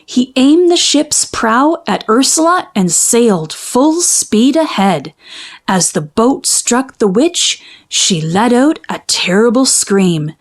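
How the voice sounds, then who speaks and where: A woman narrating a children's storybook in an expressive, measured storytelling manner. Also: on the high side. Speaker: children's book read-aloud narrator.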